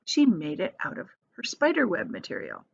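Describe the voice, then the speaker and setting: Female storybook reader, teacherly and expressive, highly varied pitch, casual asides, muffled lo-fi recording. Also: moderate pace, creaky, husky. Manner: expressive, explains the story in plain words as she goes. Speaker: teacher reading aloud to children. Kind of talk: animated storybook read-aloud with casual asides.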